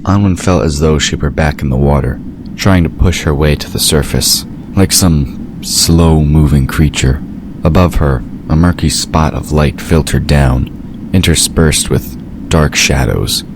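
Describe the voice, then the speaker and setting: Casting: man with an exceptionally deep voice as fiction narrator, scripted read, noisy ambient effects underneath. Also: a little slow. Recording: steady noise behind the voice.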